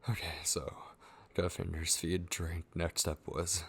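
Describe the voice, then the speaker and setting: A man playing a frantic, forgetful vampire in a horror ASMR roleplay, muttering hurried questions to himself in a husky voice. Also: low-pitched, monotone, full character voice. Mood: flustered, muttering.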